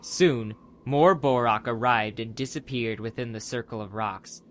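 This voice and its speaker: A male narrator with a mid-pitched voice reads a scripted adventure story in a dramatic audiobook style. Faint ambient noise sits behind his voice. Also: a little slow, fairly bright tone.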